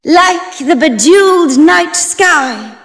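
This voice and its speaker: An actress playing a playful, mysterious goddess of fate in a fantasy video game, with lilting, theatrical pronouncements. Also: female voice, very high voice, expressive, slow, a bit bright, full character voice. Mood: serene, grand. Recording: long reverberant hall effect.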